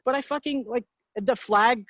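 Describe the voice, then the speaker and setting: A man in his thirties from Egypt, a podcast guest talking casually and bluntly, swearing freely and tossing off quick asides. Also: very high-pitched, fairly bright tone, no echo. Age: 30s. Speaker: guest, entrepreneur. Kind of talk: casual interview talk. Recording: dry muffled podcast audio.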